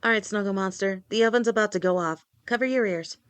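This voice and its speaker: A woman's voice: mid-range voice, fast talker, smooth, no room sound, natural voice. A woman voicing a doting adult female lamia girlfriend in a listener-directed audio roleplay, teasing with pet names and stammering when flustered. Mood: cheerful, doting. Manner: stammers and rambles when nervous. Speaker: voice actor.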